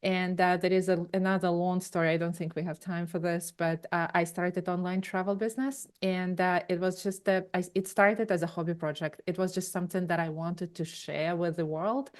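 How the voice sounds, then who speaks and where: Ukrainian accent lady in her 40s, smooth voice, rambly podcast guest with lots of ums. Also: lowish voice, fairly even intonation, moderate pace, hardly any pauses, darkish tone. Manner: rambling storyteller with many fillers and restarts. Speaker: guest, tech company founder. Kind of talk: conversational interview answers.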